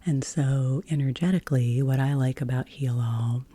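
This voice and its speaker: A woman softly guiding a relaxation practice as an ASMR host, with a breathy, whispery voice and a slow, soothing, gentle manner. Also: very deep voice. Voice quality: breathy, whispery soft-spoken.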